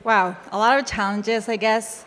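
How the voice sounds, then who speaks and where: A woman's voice: mid-range voice, fairly even intonation, moderate pace, a bit bright. A woman from Chile with a Chilean accent, a native Spanish speaker, answering on a panel and searching a little for words. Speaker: panelist, Web3 educator. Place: summit event room. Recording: echoey hall with long reverb.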